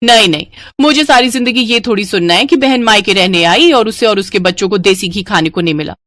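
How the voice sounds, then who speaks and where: A woman voicing aggrieved young married women in an animated Hindi family story, with an emphatic, complaining manner. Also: medium pitch, brisk, dry recording, close to an everyday voice. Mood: sarcastic, nagging.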